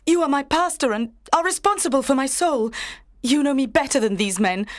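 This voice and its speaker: A woman's voice: sits high, lively intonation, brisk, dry recording. Actress as defiant mother, emotional, insistent, dry studio sound, storybook drama. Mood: desperate pleading. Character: defiant, desperate mother pleading to keep her child. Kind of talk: dramatized audiobook acting.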